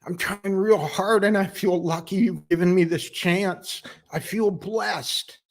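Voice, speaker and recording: A man playing an earnest, desperate working father in a halting, emotional dramatic monologue. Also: on the high side, voice pushed up, heavily acted. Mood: remorseful, then grateful, humble.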